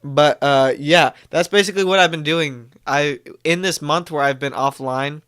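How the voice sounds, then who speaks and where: Young guy on a live stream, kinda stuffy from a cold, bright clear voice, rambles and restarts a lot. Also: a little high, normal speed. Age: young adult. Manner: rambling, self-correcting, upbeat. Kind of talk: casual live-stream chat.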